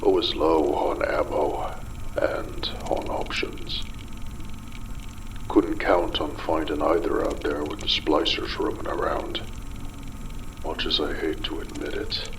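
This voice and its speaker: Man acting a very deep gravelly desperate father. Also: little pitch movement, a bit bright, a little hiss or hum, full character voice. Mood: haunted, uneasy. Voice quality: gravelly, breathy low voice. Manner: halting, muttering, broken pauses, bitter swearing. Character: desperate unraveling older father in a ruined underwater city. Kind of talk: dramatic first-person audio drama monologue.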